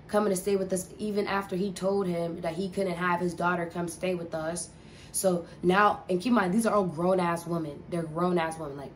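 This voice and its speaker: A woman's voice: fairly low pitch, slight background noise. A young woman telling a casual storytime, rambling and profane with lots of filler words. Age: young adult. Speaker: vlogger.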